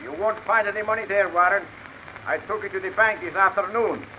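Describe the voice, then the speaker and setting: An actor playing a stern, threatening estate owner confronting an intruder in a 1950s radio drama. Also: male voice, high-pitched, a little fast, pushed and bright. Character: stern wronged man holding an intruder at gunpoint. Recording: muffled noisy vintage radio, rain behind.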